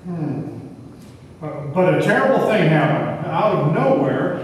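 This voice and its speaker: Guy playing hesitant cheeky storyteller, animated highish voice, radio play on stage, echoey hall. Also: measured pace. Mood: wry. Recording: echoey hall, distant, audience noise.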